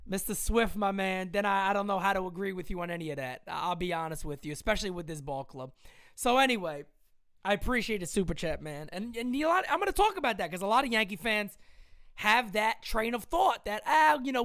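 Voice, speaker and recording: An excitable man hosts a live stream. He drops to a mutter and then bursts into yelling. His voice sounds strained from the shouting. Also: sits high, brisk. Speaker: live-stream sports show host. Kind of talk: casual live-stream commentary.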